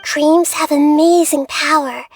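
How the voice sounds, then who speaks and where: High-pitched, gentle young girl deity. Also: steady tone, measured pace. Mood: earnest, apologetic. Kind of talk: video game voice acting, measured and formal.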